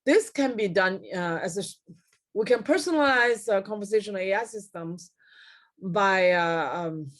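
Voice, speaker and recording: A middle-aged woman giving a technical keynote lecture over slides on a video call, pausing often with fillers and correcting herself mid-word. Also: mid-range voice. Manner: frequent fillers and self-corrections. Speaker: keynote speaker. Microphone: webcam at desk, video call.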